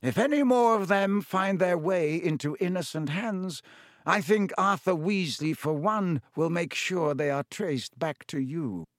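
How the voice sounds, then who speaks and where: Man reading as a calm, wry old wizard headmaster, genial and measured, pitched above his own voice. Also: no echo, studio quality. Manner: genial, measured, gently warning.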